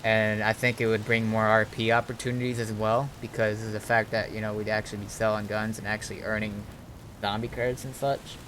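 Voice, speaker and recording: A man talking casually on a game stream, thinking things through aloud in a halting, deliberating way. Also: fairly low pitch, little pitch movement, a bit bright, slight background noise, poor audio. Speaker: streamer and roleplay gamer.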